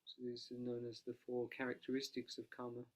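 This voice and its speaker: A man, a monk, leading a guided analytical meditation, speaking quietly and unhurriedly without a dedicated microphone. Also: mid-range voice, monotone. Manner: soft-spoken, quiet, unhurried.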